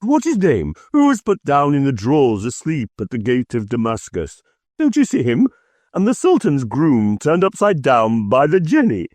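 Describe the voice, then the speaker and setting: A man voicing an old male miser, speaking in a shrill, excited voice between laughing and crying. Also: moderate pace, hardly any pauses, no room sound, natural voice. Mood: ecstatic. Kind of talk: audiobook character voice.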